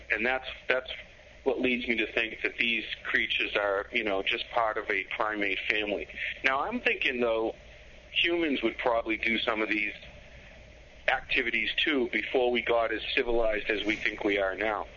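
A man's voice: medium pitch, bright, forceful tone, muffled recording, a little hiss or hum. A man appearing as a guest on a talk show, speaking in an easygoing, loosely phrased way. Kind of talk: casual chat, remote guest.

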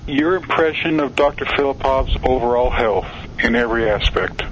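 Man calling in from wyoming, mid-pitched voice, plain friendly tone, faint hiss. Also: moderate pace, fairly bright tone, poor audio. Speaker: caller. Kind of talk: call-in radio talk.

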